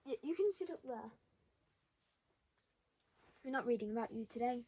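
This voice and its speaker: A young child reading a storybook aloud, stopping for halting, chatty asides to the listeners. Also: medium pitch, lively intonation, a little mellow, slightly rough. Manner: halting, commenting on pictures to listeners. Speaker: storybook reader.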